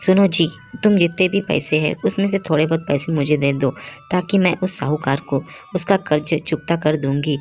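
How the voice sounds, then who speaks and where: Performer as a low-voiced village wife. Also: female voice, normal speed, few pauses, a little mellow, soft background music. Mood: pleased, practical. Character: happy, practical village wife. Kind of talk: acted Hindi cartoon storytelling.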